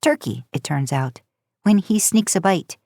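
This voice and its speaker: Female story narrator for podcast fiction, lower register, close dry recording. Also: animated, unhurried, vocal fry, warm tone, no room sound.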